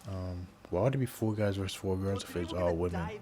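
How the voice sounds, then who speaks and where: A man's voice: deep voice, fairly even intonation, a little fast, a crowd in the background. A man hosting a reaction video, talking casually and rambling, often restarting his sentences.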